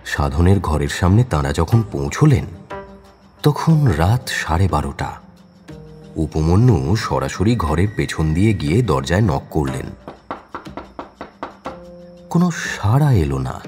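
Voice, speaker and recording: Casting: male narrator, low voice with expressive swings, dramatic crime storytelling over soft music. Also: unhurried, long pauses.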